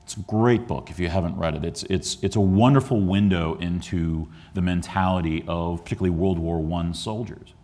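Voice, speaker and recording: A man with a very deep voice lectures on his history book in a museum hall. He talks earnestly and often stalls on ums and restarts, and he comes from the Chicago area. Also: moderate pace, few pauses, dark tone. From Chicago area, USA. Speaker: historian giving a book talk.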